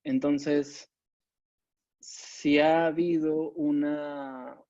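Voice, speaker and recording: A man presents in a mellow, relaxed voice of middling pitch. He talks in an informal lecturing way, halting and pausing often. The sound is dry and a bit dull, like compressed webinar audio. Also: no echo. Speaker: webinar presenter, competition agency adviser. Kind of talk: informal expert lecture with slides. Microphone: video call microphone.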